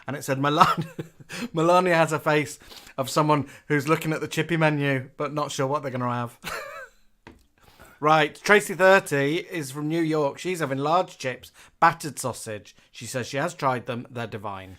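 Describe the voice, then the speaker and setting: A man's voice: fairly high pitch, normal speed, sharp tone. A man from the UK co-hosting a casual live stream, chatting and reading out viewers' comments with a laugh.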